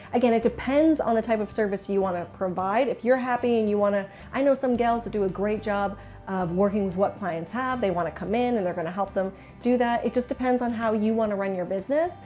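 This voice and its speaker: A woman's voice: mid-range voice, warm tone. A woman with a Canadian accent giving informal instructional advice to viewers as a business coach, chatty and candid. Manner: chatty, candid, acts out client remarks. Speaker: business coach for interior designers. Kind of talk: informal instructional video to camera.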